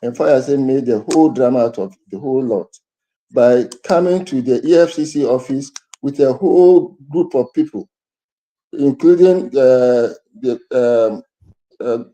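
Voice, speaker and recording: A man giving news commentary as a panelist, halting and restarting his words. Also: medium pitch, warm tone, low-quality recording.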